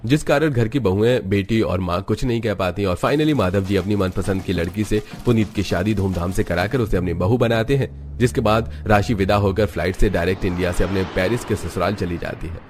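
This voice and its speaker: A man's voice: fairly low pitch, slight background noise. A man narrating a scripted cartoon family story.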